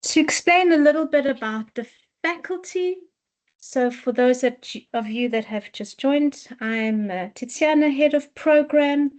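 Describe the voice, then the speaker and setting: A woman who heads a dance program, giving a slow, measured presentation on a video call with many restarts. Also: high-pitched, poor audio. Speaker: head of program. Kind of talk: online info session presentation.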